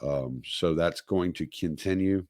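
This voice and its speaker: A man hosting his own podcast, talking alone on a livestream in a halting way with many fillers and restarts, recovering from dental work. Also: sits low, darkish tone, no room sound, clean studio sound. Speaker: podcast host. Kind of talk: solo livestream talk.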